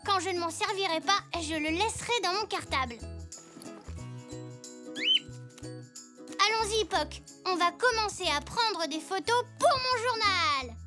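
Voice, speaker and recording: An actor voicing an eager, polite little boy zebra in a children's cartoon, with a bright childlike delivery. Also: extremely high pitch, animated, normal speed, hardly any pauses, music in the background. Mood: careful, cheerful. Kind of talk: French preschool cartoon dialogue.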